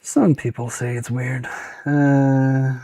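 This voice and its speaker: A man's voice: medium pitch, little pitch movement, takes it slowly, mellow. A man with a Swedish accent, thinking aloud and muttering to himself as he repairs a toy on a live stream. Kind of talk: casual live-stream commentary while repairing.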